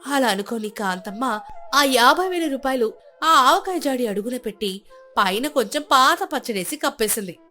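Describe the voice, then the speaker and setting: A woman narrating a scripted storybook moral tale in a steady, expressive way. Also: on the high side, normal speed, soft background music. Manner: steady, clear, expressive telling.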